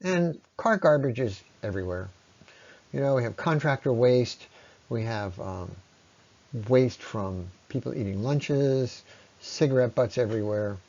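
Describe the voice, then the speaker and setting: A man presenting an explanatory slide talk in a conversational, unscripted way, with halting phrasing and frequent pauses. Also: mid-range voice, animated, a little mellow, lo-fi sound. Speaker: presenter, ecology lecturer.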